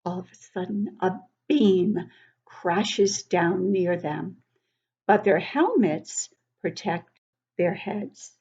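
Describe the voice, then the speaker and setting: A woman reading a picture book aloud to young children in an expressive, explanatory way. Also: fairly low pitch, slow pace, breathy edge, highs cut off. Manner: animated, explanatory, child-directed.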